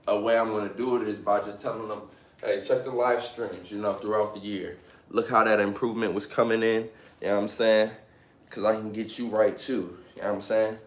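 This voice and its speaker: A man's voice: lowish voice, roomy sound. A man in his late twenties talking casually on a live stream, giving motivational advice and often repeating himself. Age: 20s. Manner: repeats phrases, motivational, fillers like "you know what I'm saying".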